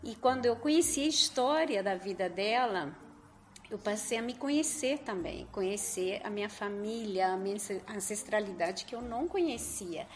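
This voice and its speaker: A woman's voice: medium pitch, lively intonation, moderate pace, slight background noise. Colombian woman telling her story. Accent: Colombian Spanish. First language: Spanish. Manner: earnest, with many hesitant fillers. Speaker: event participant. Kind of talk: personal story at a meeting. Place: meeting room with a group.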